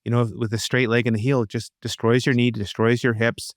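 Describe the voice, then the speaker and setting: Man, podcast interviewer, clear smooth voice, casual conversational delivery, dry close sound. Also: mid-range voice, level tone, brisk, no room sound.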